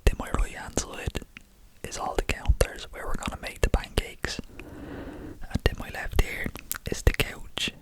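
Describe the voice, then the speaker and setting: A young man with an Irish accent, whispering an animated story to his viewers and swearing casually as he goes. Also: measured pace, rough recording. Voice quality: whispering throughout. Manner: animated, swears casually, addresses listeners as lads. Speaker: vlogger telling a story.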